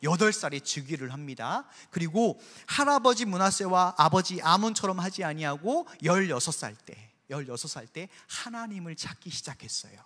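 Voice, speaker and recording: A man's voice: high voice, expressive, normal speed, few pauses, bright, forceful tone. A pastor from Korea's Jeolla region preaching a sermon with emphasis, putting rhetorical questions to his congregation. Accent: Seoul Korean, trying to hide his Jeolla dialect. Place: church sanctuary. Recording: long reverb of a church sanctuary.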